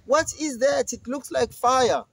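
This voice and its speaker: A man voicing an excited young male goat who eagerly tells what his brother did. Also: very high-pitched, a little slow, vocal fry, no room sound, voice pushed up, full character voice. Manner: excited, exclaiming.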